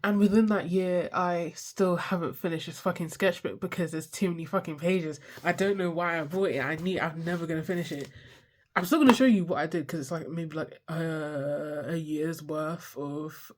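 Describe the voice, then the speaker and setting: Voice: medium pitch, fairly even intonation, normal speed. A person in their twenties with a stuffy, congested voice, chatting casually and rambling through a sketchbook tour, swearing freely and poking fun at themselves. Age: 20s. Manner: swears freely, self-deprecating, loses track mid-sentence. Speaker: art vlogger.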